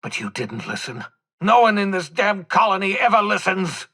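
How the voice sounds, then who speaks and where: An embittered old renegade scientist for a video game. The voice is raspy and forceful, swinging widely in pitch. He rants with theatrical sarcasm and erudite, agitated desperation. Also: very animated, moderate pace, exaggerated voice. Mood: wounded, exasperated. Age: older. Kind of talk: video game voice acting, dramatic monologue.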